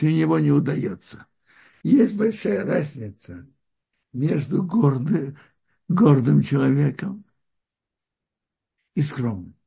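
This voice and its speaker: Very husky gravelly male Torah teacher. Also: a little high, warm tone. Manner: halting, searches for words with frequent restarts. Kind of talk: religious lesson.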